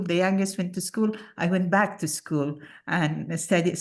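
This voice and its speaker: An older woman from Afghanistan introduces herself on a video call in a voice a little lower than most women's. She is an architect and speaks modestly. She pauses often and fills the gaps with "uh" and "um". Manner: modest, halting, with many fillers. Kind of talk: self-introduction in an online meeting.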